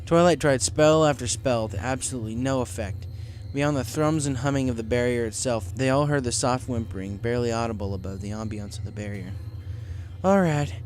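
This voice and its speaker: A man's voice: mid-range voice, steady tone, fairly quick, a little mellow, a little hiss or hum. A man reading a scripted story aloud as its narrator. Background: music.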